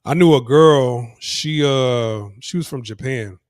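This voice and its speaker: A man's voice: mid-range voice, slow, dry recording, clean studio sound. Rambling man vlogging outdoors. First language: English. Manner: rambling, repeats himself, many restarts and fillers. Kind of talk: casual walking vlog, talking to camera. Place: outdoors, on city streets, moving around.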